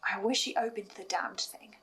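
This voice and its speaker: A woman delivering scripted analytical commentary as a host, in a musing, dramatic way with deliberate pauses. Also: mid-range voice, steady tone, background noise. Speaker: host of a podcast reaction and theory video.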